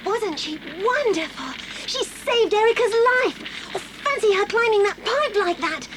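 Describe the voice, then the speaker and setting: A woman with an English accent playing an excitable schoolgirl in a children's audio drama, full of exclamations. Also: extremely high pitch, measured pace, heavy background noise. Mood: relieved admiration.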